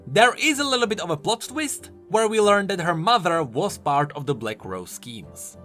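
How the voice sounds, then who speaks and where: A man's voice: high-pitched, sing-song, moderate pace, pushed and bright, faint music behind. A man presenting explanatory video commentary on game lore, informal and emphatic in his delivery. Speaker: YouTube game lore host.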